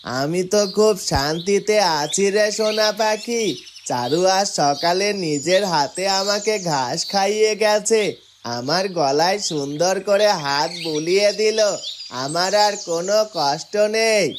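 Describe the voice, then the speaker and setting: A woman voicing a gentle, protective talking bull in a children's fairytale cartoon, unhurried and affectionate. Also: medium pitch, close to an everyday voice. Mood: contented. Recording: noisy track with chirping birdsong.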